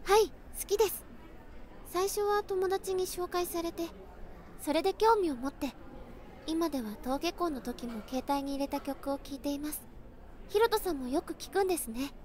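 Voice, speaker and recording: A woman playing a polite, earnest teenage high school girl in an amateur audio drama, speaking in courteous, formal phrasing. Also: moderate pace, darkish tone. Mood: polite, interested.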